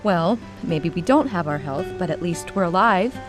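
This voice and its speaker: A woman's voice: fairly low pitch, lively intonation, normal speed, music in the background, lightly acted. An actress playing a resourceful, optimistic woman adventurer with a bantering, wry manner in an audio drama. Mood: wry, upbeat.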